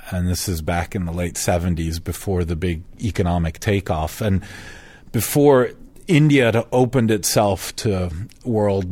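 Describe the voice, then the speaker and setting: A man's voice: deep voice, a little mellow. A man from Switzerland, a sociologist guest, giving thoughtful, explanatory answers in an interview.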